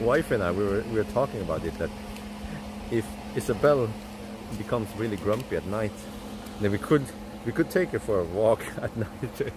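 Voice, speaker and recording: A man, rambling through casual live-stream chat as host and thinking aloud with fillers and restarts. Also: normal speed. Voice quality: deep voice. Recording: steady vehicle and street noise.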